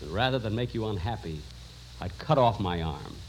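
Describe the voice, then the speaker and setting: An actor playing a lovesick, flustered doctor with melodramatic delivery in an old-time radio comedy. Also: male voice, on the low side, lively intonation, moderate pace, close to an everyday voice. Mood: pleading. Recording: very noisy old radio recording.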